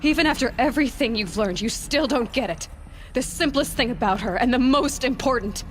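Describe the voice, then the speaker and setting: Casting: young female game hero played by an actress, higher voice, idealistic and grieving, music underneath. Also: brisk, close to an everyday voice. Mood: firm, reproachful. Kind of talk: dramatic game voice acting. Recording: music behind the voice.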